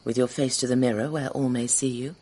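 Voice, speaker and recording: An actress playing a cold, imperious female skeptic with villainous intent in a radio drama, speaking deliberately. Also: rumbling low, fairly even intonation, mellow, slight background noise. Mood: stern.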